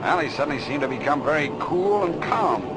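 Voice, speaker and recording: An actor playing a seasoned, friendly flight boss in a radio adventure serial, earnest and folksy in manner. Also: male voice, on the high side, expressive, brisk, close to an everyday voice. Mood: reflective, hopeful. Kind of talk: old-time radio adventure serial acting. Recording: noisy old radio recording with engine drone.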